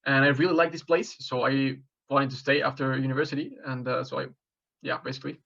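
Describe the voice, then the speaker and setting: Mexican-born man, 20s, job applicant: halting, filler-heavy answers, monotone, slightly muffled audio. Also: medium pitch, vocal fry. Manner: hesitant, many fillers and restarts. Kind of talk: mock job interview answers.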